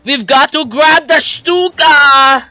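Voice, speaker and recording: A young man streaming a war strategy game from a desk at home, yelling at the game and putting on silly voices. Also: very high voice, slow pace, dry recording, faint music behind. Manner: yelling and screeching at the game, silly mock accents. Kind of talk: excitable gaming commentary.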